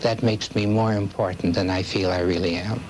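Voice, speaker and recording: Middle-aged man, lowish pitch, formal and measured, expert interview, slightly noisy vintage TV tape. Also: steady tone, a little mellow. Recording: hissy, degraded 1970s videotape.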